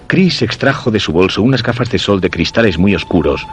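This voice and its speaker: An actor with a Castilian Spanish accent playing a curious, insistent husband who recounts a mysterious romance in measured, literary radio-play style. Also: male voice, lowish voice, animated, faint music behind, close to an everyday voice. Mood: measured, reflective.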